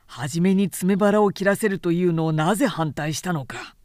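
A woman's voice: lowish voice, moderate pace, darkish tone, dry recording, studio quality, natural voice. A woman voicing an agitated male samurai colleague in an audiobook, questioning in an urgent, blunt yet formal manner. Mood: challenging. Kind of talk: literary audiobook character reading.

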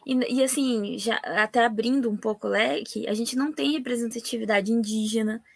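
Animated Brazilian woman hosting a podcast. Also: fairly high pitch, brisk, creaky, no echo. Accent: Brazilian Portuguese. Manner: animated, emphatic, often exclaims "gente". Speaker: podcast host. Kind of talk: casual podcast interview.

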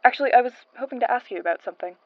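A woman's voice: a little high, rapid-fire, no room sound, highs cut off. A woman playing a nervous, wryly curious modern archivist with a hesitant manner, in a naturalistic audio drama. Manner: hesitant, slightly awkward.

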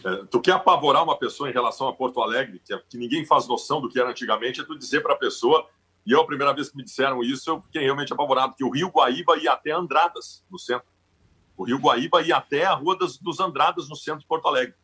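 A man's voice: mid-range voice, quick pace, husky. A man with a southern Brazilian gaúcho accent, chatting casually and stressing his points. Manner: emphatic, animated storyteller. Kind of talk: casual chat.

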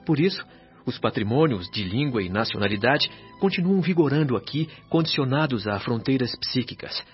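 An actor playing a patient, grave spirit nurse who explains things in a measured, serene, didactic way in a radio drama. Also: male voice, mid-range voice, expressive, natural voice. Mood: patient explaining. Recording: slightly dull band-limited sound with music. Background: music.

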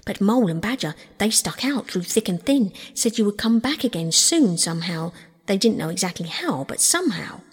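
An emphatic, husky river rat from a storybook, played by a woman. He is earnest and excitable, with old-fashioned speech that leaps about in pitch, and long reverb trails the voice. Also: moderate pace. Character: earnest, scolding, excitable male river rat, loyal friend.

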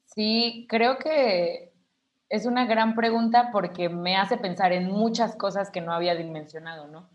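Tired but lively woman in her twenties. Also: mid-range voice, measured pace, highs cut off. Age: 20s. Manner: thoughtful, tags phrases with a questioning "¿no?". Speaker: actress, podcast guest. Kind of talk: reflective conversation.

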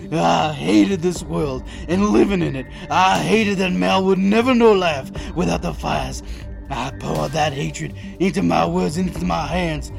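Gravelly, desperate survivor father. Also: on the high side. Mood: defiant, tense. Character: desperate, protective father surviving a post-apocalyptic wildfire. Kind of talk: first-person dramatic audio drama narration.

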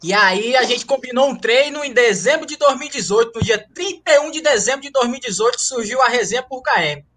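A man's voice: very high-pitched, expressive, fairly quick, hardly any pauses, fairly bright tone, slight background noise. A man with a Ceará accent, from Rio but raised in Ceará, telling the story of founding his running humor group in a casual, slangy way. He jokes as he goes and keeps tacking on tag questions. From Rio de Janeiro, raised in Ceará. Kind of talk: casual interview over a call.

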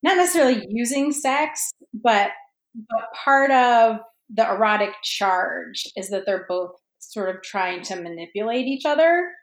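A woman's voice: on the high side, expressive, unhurried. A woman speaking as a guest writer in a casual podcast discussion, thoughtful and hesitant as she circles toward the right phrasing. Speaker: guest fan fiction writer. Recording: roomy, echoey sound.

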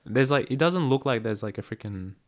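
A man's voice: medium pitch, lively intonation, a little fast. A young man from Australia, chatting casually and rambling with lots of "like" fillers. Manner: rambling, filler-heavy talk, "like" every few words. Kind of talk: casual chat.

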